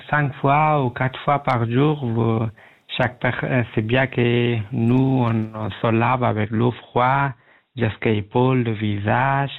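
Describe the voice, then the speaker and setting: Slow, creaky Afghan-accented man on the phone. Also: mid-range voice. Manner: polite, halting, searching for words. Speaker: radio phone-in caller. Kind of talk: hesitant conversation in a second language. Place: at home, on the phone. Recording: tinny narrowband phone line.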